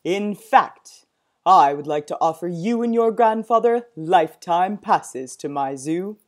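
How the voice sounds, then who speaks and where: A woman voicing a loud, blustering male zoo owner who bellows and gets flustered. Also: fairly low pitch, animated, measured pace.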